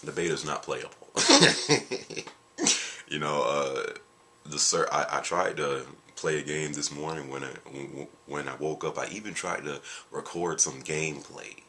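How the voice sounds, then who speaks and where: A tired man with a very deep voice, chatting casually in a video and rambling with jokes, fillers and restarts. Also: a little slow, pushed and bright, a little hiss or hum. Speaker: gaming video creator. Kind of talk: casual vlog chat.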